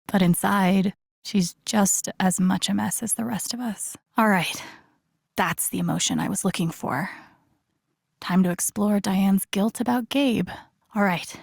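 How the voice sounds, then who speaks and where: A woman's voice: medium pitch, creaky, darkish tone, dry recording, studio quality. A woman playing an earnest, wry, vulnerable young video game heroine, in an introspective, understated inner-monologue style. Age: young adult.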